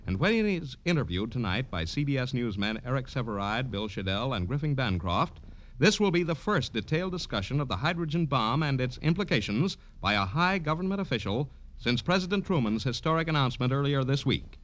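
A man's voice: medium pitch, sing-song, fairly quick, a bit bright. An American man reading scripted announcements in the formal, polished style of 1950s radio.

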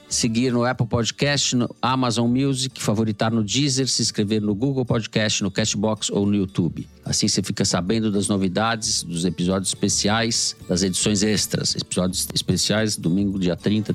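A middle-aged Brazilian man hosting a political podcast from home, casually sending greetings and reading the closing credits at a quick clip. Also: lowish voice. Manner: reads credits quickly, joking sign-off. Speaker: podcast host. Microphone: remote home mic.

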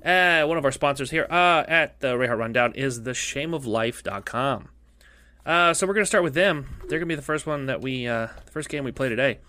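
A man's voice: mid-range voice, a little fast, bright, forceful tone. A male podcast host with a cough, chatting casually in a rambling, jokey way that keeps repeating itself. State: coughing, losing his voice.

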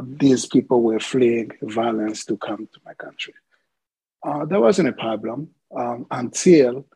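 A man from the Democratic Republic of Congo, a podcast guest telling his life story in a halting way, with many filler sounds. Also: mid-range voice, creaky, a little mellow, breathy edge, no room sound, low-quality recording.

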